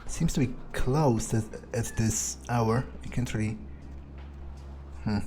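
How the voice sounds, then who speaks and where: A man's voice: mid-range voice, takes it slowly, mellow, a little hiss or hum. A man giving casual in-character gameplay commentary in a dry, understated, musing way. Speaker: gamer playing in character.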